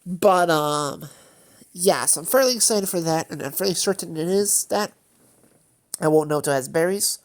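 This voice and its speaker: A woman's voice: on the low side, normal speed. A woman hosting a gaming channel, sounding congested and nasal from allergies, chatting casually in a meandering way with lots of fillers and self-corrections. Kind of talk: rambling casual chat over gameplay.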